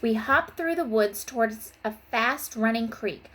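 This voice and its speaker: A woman's voice: a little high, expressive, unhurried, a bit bright, slight background noise. A woman teacher giving an informal lesson and story for young children, stressing key terms in an instructional way. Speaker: third grade teacher.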